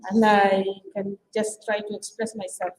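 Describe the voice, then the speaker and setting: A woman's voice: medium pitch, level tone, normal speed, a little hiss or hum. Woman speaking in an echoey hall. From South Sudan. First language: not English. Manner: emphatic, repeats phrases for effect, switches into Arabic. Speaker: conference speaker, women's organization leader. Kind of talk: impassioned conference speech. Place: conference hall. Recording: echoey hall, mic at a distance.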